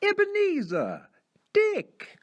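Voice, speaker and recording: An actor voicing a jolly, fat old merchant in a storybook reading, calling out in a hearty, jovial boom. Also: male voice, wildly swooping pitch, takes it slowly, highs cut off, exaggerated voice. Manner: booming, jovial, hailing.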